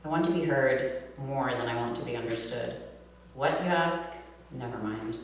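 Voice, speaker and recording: A woman's voice: a bass voice, moderate pace. Echoey, distant American woman reading poetry. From New York City, USA. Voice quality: nasal. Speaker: poet reading own work. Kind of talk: live poetry reading with casual introductions between poems. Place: reverberant room at a live reading event. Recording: echoey hall, distant mic, humming noise.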